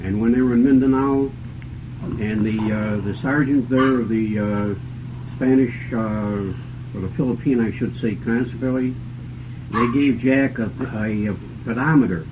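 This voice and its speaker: A man's voice: mid-range voice, a little slow. An older man, a radio club panelist, reminiscing in a casual, jovial, rambling way. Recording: noisy phone-like lo-fi radio sound.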